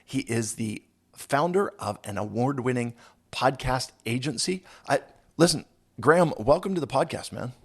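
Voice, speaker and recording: Raspy hoarse man hosting a podcast. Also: mid-range voice, lively intonation, normal speed. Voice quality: raspy, gravelly. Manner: upbeat, self-deprecating joker. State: hoarse from a cold. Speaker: podcast host. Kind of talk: casual interview chat.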